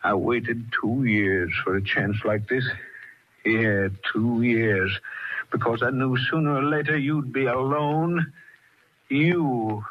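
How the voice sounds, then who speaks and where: An actor playing a suave, sardonic older kidnapper in an old radio drama, with a husky voice. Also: male voice, mid-range voice, slow, a little hiss or hum, natural voice. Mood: gloating. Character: suave, scheming older male swindler-turned-kidnapper. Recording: muffled old radio recording.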